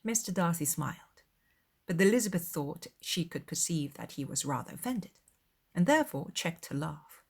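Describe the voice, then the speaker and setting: A woman reading scripted literary narration as an audiobook narrator, in a formal, measured storytelling manner. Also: lowish voice, animated, vocal fry, a little mellow, breathy edge.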